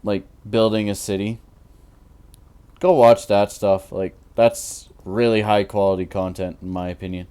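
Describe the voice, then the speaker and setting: A tired man in his twenties with a naturally deep voice, rambling through casual commentary while he builds in a game, full of fillers and restarts. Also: flat delivery, unhurried, warm tone, clear, resonant tone. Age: 20s. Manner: rambling, hesitant, many fillers. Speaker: Minecraft YouTuber.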